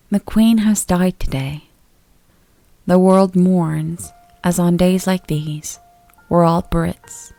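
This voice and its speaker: A woman reading a scripted horror story as its narrator, in a measured, deliberate way. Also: on the low side, warm tone. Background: music.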